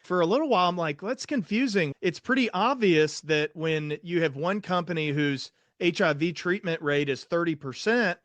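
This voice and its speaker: A man's voice: a little high, normal speed, hardly any pauses, fairly bright tone, dry recording. A young man hosting an interview as a psychiatrist, telling stories and voicing his own past thoughts. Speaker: psychiatrist host. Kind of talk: casual interview talk.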